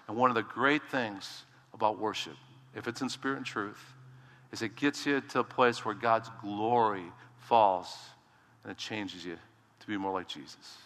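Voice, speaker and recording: A man pastor preaching a sermon in a loud, emphatic voice, swinging between hushed low lines and sudden shouted rises. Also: in a large hall. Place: church sanctuary.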